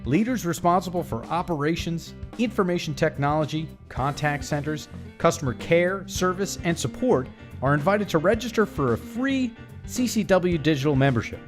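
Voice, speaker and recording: Higher-pitched male podcast host, music behind. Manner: upbeat and emphatic in the intro, soft and chatty in conversation. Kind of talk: scripted intro read, then casual interview.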